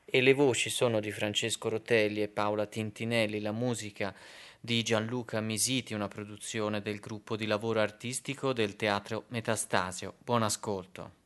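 A man with a fairly low voice acts as presenter. He reads a scripted introduction to a radio drama, listing its credits. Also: steady tone, moderate pace, a bit bright.

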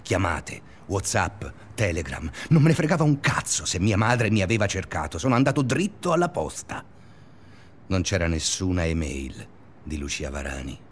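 An actor playing an obsessive, restless male schoolteacher in a confessional radio drama monologue, speaking deliberately in short phrases. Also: sits low, big pitch swings, a little hiss or hum. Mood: tense. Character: obsessive, restless male schoolteacher narrating his sleepless days.

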